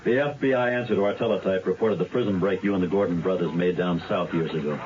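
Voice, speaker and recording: An actor playing a matter-of-fact police officer in a radio drama, explaining things in a friendly way. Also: male voice, deep voice, quick pace, lightly acted. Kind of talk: 1970s radio drama acting. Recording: dull radio drama recording.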